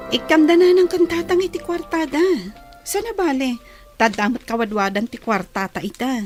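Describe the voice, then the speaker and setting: Woman acting a high-voiced compassionate young wife. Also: fairly even intonation, moderate pace, music in the background, lightly acted. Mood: concerned. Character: compassionate, forgiving wife and daughter-in-law. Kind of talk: radio drama acting.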